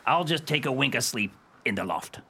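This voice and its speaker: An actor playing a shabby, lovesick one-eyed pirate with rustic, ungrammatical speech in a comic radio play. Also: male voice, medium pitch, expressive, moderate pace, gravelly, very noisy. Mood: lovesick, humble. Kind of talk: stage pirate radio comedy acting.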